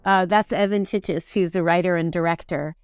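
A woman, chatting as an interview guest in halting, reflective phrases. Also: fairly low pitch, steady tone, smooth, dry recording, studio quality. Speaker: actor and singer, podcast guest. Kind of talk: casual interview conversation.

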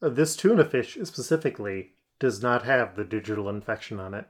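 A game master running a tabletop roleplaying game, talking in a relaxed way with many fillers. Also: medium pitch, a little fast.

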